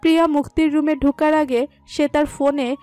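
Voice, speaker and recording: A woman narrates a scripted romantic story in a high voice. Soft background music runs under her reading. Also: fairly even intonation, moderate pace, dry recording.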